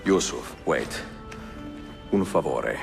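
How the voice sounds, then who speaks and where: An actor playing a seasoned Italian assassin master in a video game, gruff, gallant and measured, with an Italian accent. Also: male voice, rumbling low, expressive, heavily acted. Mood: earnest, commanding. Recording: clear music behind the voice.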